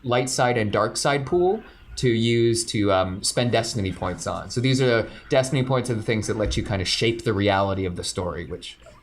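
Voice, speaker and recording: A man's voice: on the low side, normal speed, slight background noise. A man narrating a tabletop role-playing game as game master, loose and joking, describing scenes with plenty of fillers.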